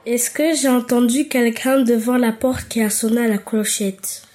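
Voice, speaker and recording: Woman storyteller, calm deliberate reading, mellow, fairly high voice. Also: soft background music. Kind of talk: reading a fairy tale aloud.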